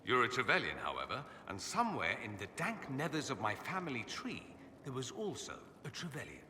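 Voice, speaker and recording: An actor voicing a witty, urbane aristocratic mage in a video game, with a flamboyant, playful, arch delivery. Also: male voice, mid-range voice, huge pitch swings, fairly quick, long reverb tail, noisy background. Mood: playful, wry.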